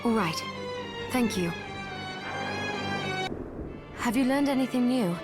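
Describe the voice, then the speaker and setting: A woman's voice: on the high side, lively intonation, slow. A woman acting a composed, polite young heroine who speaks with cool courtesy in a video game cutscene. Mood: thoughtful. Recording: music under the voice.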